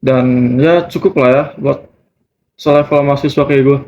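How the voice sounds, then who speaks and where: A man's voice: mid-range voice, steady tone, normal speed, darkish tone. A nineteen-year-old man, giving casual, slangy answers as an interviewee. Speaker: student interviewee in a group discussion. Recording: muffled, noisy lo-fi recording.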